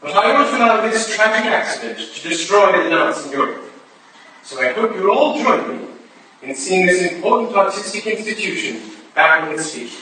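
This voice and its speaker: A solemn aristocrat, acted by a man delivers measured, formal oratory in a staged play. His voice is high and forceful, carrying from far off in an echoey room. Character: solemn aristocratic ballet patron addressing party guests. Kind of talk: staged reading of a period play, formal speech. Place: live theatre reading in a reverberant room. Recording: distant, very echoey room, faint noise.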